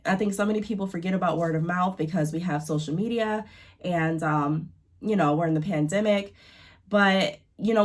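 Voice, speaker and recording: Congested woman giving chatty business advice. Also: lowish voice. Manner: chatty, filler-heavy, encouraging. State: congested from allergies. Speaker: YouTube business vlogger. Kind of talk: casual advice talk to camera.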